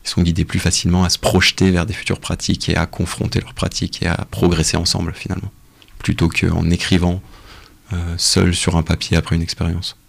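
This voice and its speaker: A young man speaking as a researcher guest in a casual radio interview, explaining things in a halting way with frequent pauses. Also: low-pitched, completely flat delivery, creaky. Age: young adult.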